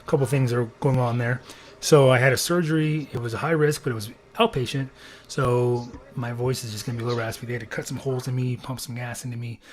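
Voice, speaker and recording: Raspy-voiced man hosting a casual livestream. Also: medium pitch, steady tone, quick pace. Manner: rambling, thinking aloud with fillers. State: recovering from throat surgery. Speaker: podcast host.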